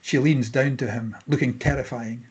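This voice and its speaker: Husky male script narrator. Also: medium pitch, steady tone, normal speed, darkish tone, rough recording. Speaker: narrator reading stage directions. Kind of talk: scripted narration at a table read.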